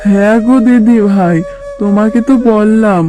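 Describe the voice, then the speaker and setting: A woman voicing a kindly old female fox beggar in a cartoon, gentle, grandmotherly and imploring. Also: mid-range voice, moderate pace, music in the background, lightly acted. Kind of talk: cartoon dubbing.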